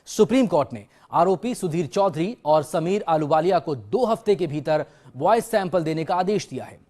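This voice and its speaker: A man's voice: on the high side, animated, moderate pace, darkish tone. A man reading scripted news as an anchor.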